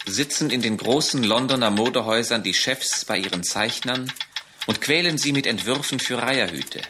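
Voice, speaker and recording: An actor as a poetic storyteller, narrating a scripted radio drama. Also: male voice, medium pitch, moderate pace, noisy background, natural voice. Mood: lyrical. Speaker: narrator in a chorus. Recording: clear music under the voice.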